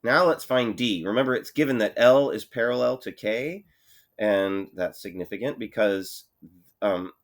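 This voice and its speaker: A male math teacher with a cold and a congested voice, talking his students through problems in a relaxed way, thinking aloud with many fillers. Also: medium pitch. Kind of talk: recorded lesson walkthrough.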